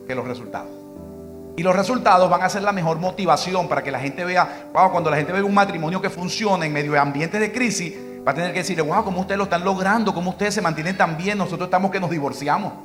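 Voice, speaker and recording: Fast-talking Venezuelan pastor preaching. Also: male voice, fairly high pitch. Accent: Venezuelan Spanish. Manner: storytelling, quoting his wife in dialogue. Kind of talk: sermon with personal story.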